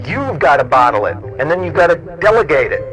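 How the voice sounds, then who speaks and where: Emphatic, urging man with a higher voice. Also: very animated, fast, a bit bright, faint music behind, low-quality recording. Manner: emphatic, urging, repeating himself for stress. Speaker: guest interviewer. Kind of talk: informal on-the-spot interview. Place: small equipment-packed studio.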